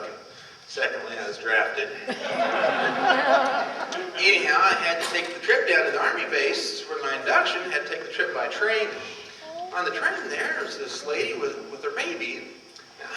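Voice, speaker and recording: Male comic for homespun monologue, lively swooping delivery, drawn-out rustic storytelling, heard from afar in a reverberant hall. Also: on the high side. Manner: drawn-out setups, countrified storytelling in character. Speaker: amateur comedian. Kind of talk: folksy old-time stand-up monologue. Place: large hall in front of a live audience. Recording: echoey hall from far off, noisy.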